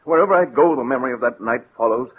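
A man's voice: medium pitch, racing, slight background noise, lightly acted. A man acting a guilt-haunted, suspicious and insistent man in a 1940s radio drama. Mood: weary, haunted. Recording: muffled vintage 1940s radio recording.

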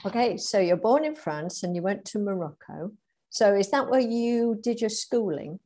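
A woman's voice: mid-range voice, lively intonation, moderate pace, mellow. A woman hosting a podcast, asking questions in an encouraging, guiding way. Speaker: podcast host. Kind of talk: interview questions.

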